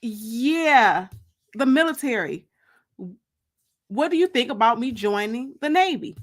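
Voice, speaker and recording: Woman reading as an earnest, slow-talking young American woman. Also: on the high side, animated. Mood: tentative. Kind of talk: dramatic audiobook reading.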